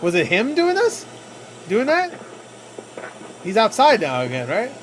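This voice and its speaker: Animated, higher-voiced man reacting casually. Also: measured pace. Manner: profane, slangy, jokey asides. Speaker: reaction video host. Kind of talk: casual reaction commentary over a video. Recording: clear background noise.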